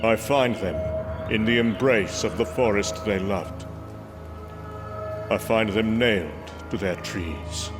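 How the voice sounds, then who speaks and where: An actor playing an earnest, grave warrior prince and god of thunder, speaking formally and solemnly in an audio comic drama. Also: male voice, fairly low pitch, slow pace. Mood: grim, solemn. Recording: music bed under the voice. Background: music.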